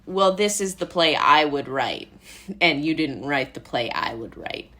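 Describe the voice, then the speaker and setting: A woman's voice: low-pitched, expressive, unhurried, sharp tone. A young American woman chatting casually as a podcast guest, rambling through anecdotes and quoting imagined remarks. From Brooklyn, New York, USA. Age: young adult. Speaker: podcast guest, playwright and actor. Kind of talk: casual chat.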